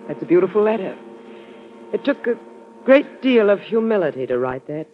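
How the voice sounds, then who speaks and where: A woman's voice: mid-range voice, big pitch swings, normal speed, close to an everyday voice. An actress playing a devoted, coy American female aide in a fluttery, theatrical 1940s radio style. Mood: tender, reassuring. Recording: muffled, noisy old radio recording.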